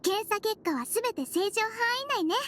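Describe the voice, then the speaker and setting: A woman voicing a small, sweet head nurse in an anime game, girlish and gentle, with reassuring phrasing. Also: very high-pitched, animated, normal speed, a little hiss or hum. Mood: calm, reassuring.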